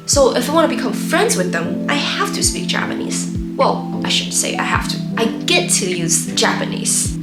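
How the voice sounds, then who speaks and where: A woman whose first language is Mandarin Chinese, hosting her own channel and giving earnest, steady tips in scripted tutorial narration. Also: medium pitch. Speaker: YouTube host. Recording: roomy echo with loud background music.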